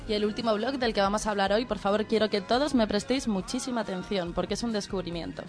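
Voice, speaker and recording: A woman's voice: medium pitch, fast. Woman radio host from Spain, over music. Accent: Spanish, from Spain. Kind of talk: informal radio presenting.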